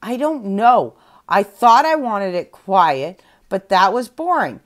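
A woman's voice: medium pitch, sing-song, slow. A woman voicing a sleepy, grumpy female panda bear in a storybook tale, with a whiny, eager way of talking. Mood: sheepish.

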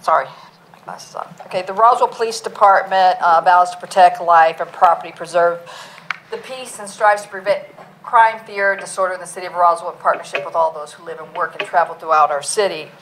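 A middle-aged woman, a police chief, gives a budget presentation in a council meeting room, speaking loudly and plainly with many fillers. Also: lowish voice, steady tone, brisk, a little hiss or hum, low-quality recording.

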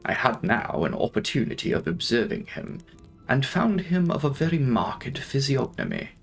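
A young man narrating a classic novel in a theatrical, deliberate audiobook style. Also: mid-range voice, sing-song, gravelly. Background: faint music.

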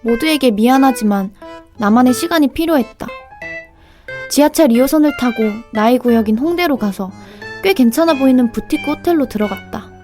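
A woman's voice: high-pitched, animated, fairly quick, faint music behind. A young actress playing a sulky idol dancer who narrates her own story. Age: young adult. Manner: sulky and sharp. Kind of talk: audio drama narration and dialogue.

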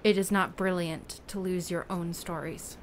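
A woman acting in an audio play as a wary, lonely ocean survivor, speaking plainly and haltingly. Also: fairly low pitch, steady tone, a little hiss or hum, close to an everyday voice. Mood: wary, sad. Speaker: actor.

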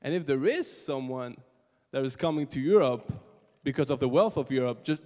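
A man's voice: a little high, a little hiss or hum. A man speaking earnestly and emphatically during a discussion. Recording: reverberant concert hall.

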